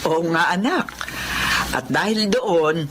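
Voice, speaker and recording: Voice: medium pitch, lively intonation, slow pace. A performer playing a proud, doting older mother in a Filipino radio drama. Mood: proud, fond. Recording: noisy background.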